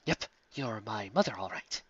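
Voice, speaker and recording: A man reading a fan story aloud, voicing a brash, dramatic male starship captain who protests in overwrought shouts. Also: fairly high pitch, big pitch swings, brisk, no echo, dull audio, heavily acted. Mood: bewildered.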